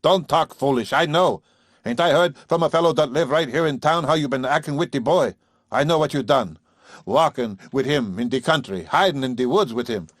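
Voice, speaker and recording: A man voicing a gruff, bullying old farmer with a whining, rough country dialect. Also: mid-range voice, normal speed, no room sound, clean studio sound, pitched above the performer's own voice, natural voice. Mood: angry, sneering.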